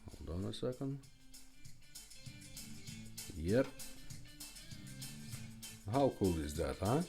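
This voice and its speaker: A man's voice: lowish voice, big pitch swings, drawn-out words, gravelly, dark tone, soft background music. A man who speaks as a gives a casual, informal product demonstration with hesitant phrasing. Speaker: car radio restorer presenting a product.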